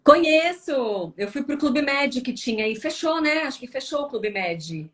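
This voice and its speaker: A woman's voice: sits high, animated, a little slow, fairly bright tone. A tired woman from Brazil hosting a casual live stream, answering viewers with lots of tag questions. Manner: chatty, frequent tag questions.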